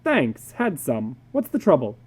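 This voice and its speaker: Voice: mid-range voice, huge pitch swings, measured pace, darkish tone, slightly rough, exaggerated voice. A reader voicing a blunt, worldly male psychologist in a dramatic story reading, speaking emphatically and with dry amusement. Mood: breezy.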